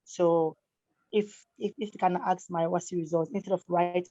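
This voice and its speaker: A woman's voice: lowish voice, steady tone, normal speed, warm tone, dry recording. A woman speaking as a panelist on an online call, giving direct advice and repeating her points for emphasis. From Ghana. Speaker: scholarship recipient panelist. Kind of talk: online webinar talk. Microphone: video call. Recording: dull, dry lo-fi call audio.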